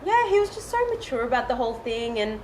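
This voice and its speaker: A woman's voice: a little high, big pitch swings, fast talker, a little hiss or hum. A woman in her twenties, raised in Israel, chatting as a guest and laughing often. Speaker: guest, reality show runner-up. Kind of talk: casual interview chat.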